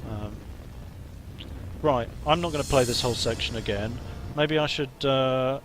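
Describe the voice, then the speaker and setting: A man, a game developer, chatting hesitantly on a live stream while playing, somewhat hoarse as he loses his voice. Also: medium pitch, measured pace, fairly bright tone, slight background noise. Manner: hesitant, many ums and restarts. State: losing his voice, coughing. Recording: music playing behind.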